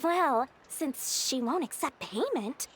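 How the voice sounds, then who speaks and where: A woman's voice: sits high, animated, slow, a bit bright, a little hiss or hum, full character voice. A woman voicing a greedy, gleeful young girl merchant in a video game, with a sugary, smug sales manner. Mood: smug, delighted. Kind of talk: animated video game voice acting.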